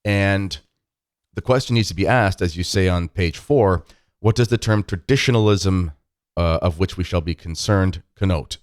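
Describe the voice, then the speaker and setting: A man's voice: sits low, no room sound, studio quality. A man hosting an interview podcast, talking in a friendly, rambling way with many fillers. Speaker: podcast host.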